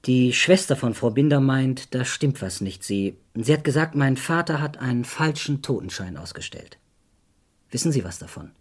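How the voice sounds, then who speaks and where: An actor playing an earnest adult son in a crime radio play, hesitant yet insistent. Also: male voice, mid-range voice, animated, normal speed, breathy edge. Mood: restrained, hesitant.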